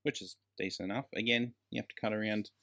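A man's voice: fairly low pitch, fairly even intonation, fast, bright, forceful tone. A man co-hosting a casual film review chat, emphatic and slangy, with plenty of swearing. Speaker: podcast co-host.

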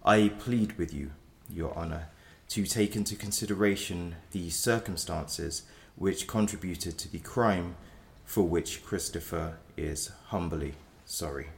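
Defence lawyer for a male actor: very low, creaky voice, measured, cautious, earnest. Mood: solemn, pleading. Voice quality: deep, gravelly. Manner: formal, measured advocacy. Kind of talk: audio drama acting.

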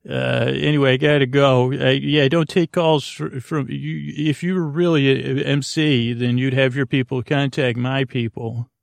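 Creaky-voiced man, halting and rambling. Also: medium pitch, flat delivery, dry recording, studio quality. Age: 40s. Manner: halting, stammering, digressive, trailing off mid-thought. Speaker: host of a sleep podcast. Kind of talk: rambling, meandering bedtime monologue.

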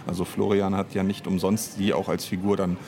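A man giving a casual interview as an audio drama writer and director, speaking in a hesitant, measured way with pauses. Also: low voice, flat delivery, creaky, a little mellow, slight background noise.

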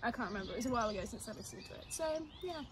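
A woman's voice: on the high side, animated, rapid-fire, background noise. A young woman co-hosting a casual chat, a bit sniffly and bunged up, rambling and giggly with lots of fillers. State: sniffly and congested. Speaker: co-host.

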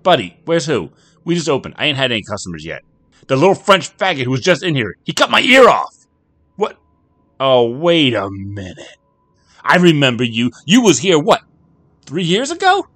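A man voicing a blunt, streetwise middle-aged bartender who talks rough and ungrammatical. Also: on the high side, sing-song, moderate pace, fairly bright tone, no room sound, voice pushed up. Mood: puzzled. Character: gruff working-class middle-aged bartender.

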